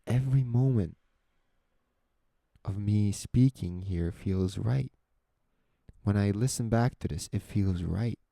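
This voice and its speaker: Soft-spoken young man, slow and reflective. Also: lowish voice, no room sound. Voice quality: soft soothing voice. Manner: slow, introspective, rambling. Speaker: podcast host. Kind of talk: reflective solo monologue. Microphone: close podcast microphone.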